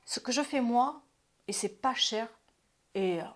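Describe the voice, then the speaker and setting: A woman in her fifties who hosts an advice channel and talks casually about self-care, often restarting her sentences and dropping into quiet asides. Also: fairly high pitch, animated, measured pace. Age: 50s. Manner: chatty, repeats and restarts, drops to asides.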